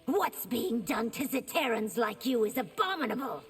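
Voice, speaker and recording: Stern empress, high voice, imperious, regal, video game, faint music behind. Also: female voice, big pitch swings, moderate pace, husky, heavily acted. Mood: stern resolve. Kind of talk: video game fight-intro dialogue.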